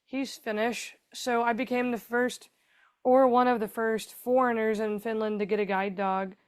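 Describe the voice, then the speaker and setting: Woman, fairly high voice, smooth and clear, rambling conversational storytelling. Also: fairly even intonation, normal speed. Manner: chatty, rambling storytelling with many fillers. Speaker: guest, foundation founder. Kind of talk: conversational podcast interview.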